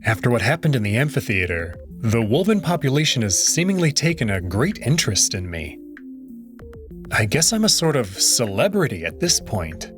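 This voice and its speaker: A man hosting a let's play channel, reading a visual novel aloud with wry, expressive delivery. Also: medium pitch, normal speed, faint music behind.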